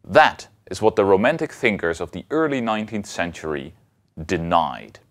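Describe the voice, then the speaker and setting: A man in his thirties giving a scripted lecture as a university teacher, with deliberate, emphatic phrasing. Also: deep voice, sing-song, fairly bright tone. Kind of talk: scripted educational lecture to camera.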